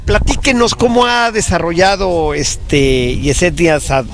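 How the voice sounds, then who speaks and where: High-pitched Mexican man hosting a sports show. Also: unhurried. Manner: polite, conversational. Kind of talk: live broadcast interview.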